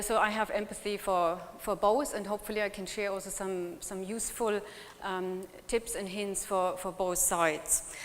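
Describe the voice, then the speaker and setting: A woman's voice: medium pitch, a bit bright. A middle-aged woman from Germany with a German accent, giving a chatty conference talk in which she jokes and asks the audience questions. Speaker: conference speaker. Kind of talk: conference presentation. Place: conference hall. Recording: long hall reverb.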